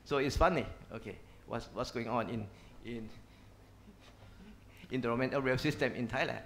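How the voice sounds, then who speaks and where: A man's voice: mid-range voice, normal speed, a bit bright, slight background noise. A man on a discussion panel who answers with wry, chuckling asides. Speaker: panel speaker.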